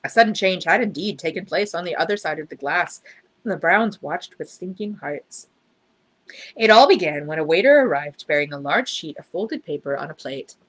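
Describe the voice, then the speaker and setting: Female storybook narrator, slightly low register, very animated, a husky grain to the voice. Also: normal speed, low-quality recording. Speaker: storybook reader. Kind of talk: expressive children's story narration.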